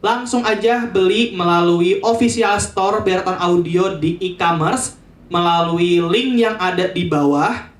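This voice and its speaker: A man's voice: high-pitched, fairly bright tone. A man presenting a casual promotional product review, chatting to viewers like friends.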